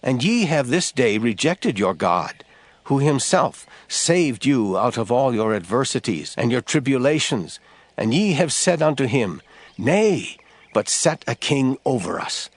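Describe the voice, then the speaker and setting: Solemn, animated prophet played by a man. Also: medium pitch, a little slow, no room sound. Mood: stern, reproving. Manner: declamatory, grave. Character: solemn, commanding male prophet addressing his people. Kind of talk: dramatized scripture audio drama.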